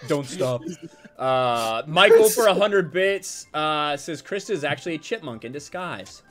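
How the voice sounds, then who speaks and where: A man's voice: on the high side, expressive, unhurried. A man in casual chat, reading out viewer donations and joking crudely during a game stream. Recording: game music behind.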